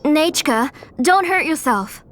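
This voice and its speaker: A woman's voice: high-pitched, animated, slow, a bit bright, exaggerated voice. An actress voicing a devoted, self-blaming auntie in a video game, with an earnest, motherly manner. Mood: worried, scolding. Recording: prominent game music behind voice. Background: background game music.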